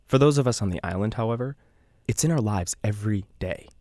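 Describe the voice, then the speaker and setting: A man playing an earnest island radio host, narrating an eerie mystery in a measured, conversational podcast style. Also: fairly low pitch, darkish tone, no echo, studio quality, natural voice. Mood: earnest, uneasy. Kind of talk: scripted audio drama narration, confessional podcast.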